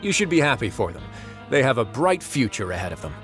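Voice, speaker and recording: An actor playing a composed, wry man in charge of a prison fortress, speaking in a measured, dryly teasing way for a video game. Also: medium pitch, sing-song, fairly bright tone, faint music behind. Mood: calm, dry. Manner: measured, dry, politely teasing.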